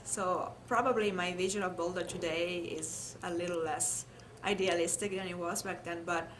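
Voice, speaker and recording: Low-pitched Italian woman, noisy recording. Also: a bit bright. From Italy. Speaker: interviewee. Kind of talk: oral history interview, personal recollection. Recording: clear background noise, room ambience.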